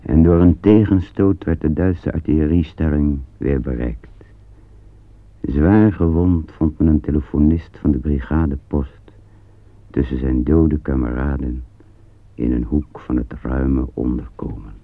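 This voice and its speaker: A man narrating a war radio drama in a solemn, grave manner with a gravelly voice. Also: rumbling low, measured pace, no echo, full character voice. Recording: thin phone-like old radio recording.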